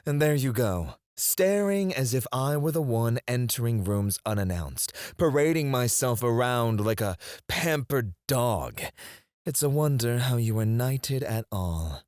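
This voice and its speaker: A man's voice: medium pitch, lively intonation, slow pace, a little mellow, no echo, clean studio sound. An actor playing a haughty, sarcastic, prickly and flirtatious male court alchemist in an ASMR fantasy roleplay, with a sardonic drawl. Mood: annoyed, disdainful. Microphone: close studio mic, dry.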